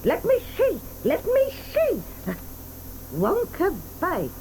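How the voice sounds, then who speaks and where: A cantankerous old grandmother in an audio drama, her high voice leaping wildly up and down. She snaps and demands, quick to take offence. A faint hiss runs under her lines. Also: wildly swooping pitch, full character voice. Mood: reading. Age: older. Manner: snappish, demanding. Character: cantankerous old grandmother, sharp-tongued and impatient.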